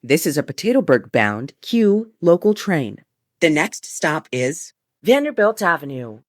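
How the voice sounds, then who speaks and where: A woman with a fairly low voice reads scripted transit announcements as an automated train announcer. Her pitch moves in a lively way across measured set phrases. The sound is dry, with little room echo. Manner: formulaic, measured delivery of short set phrases.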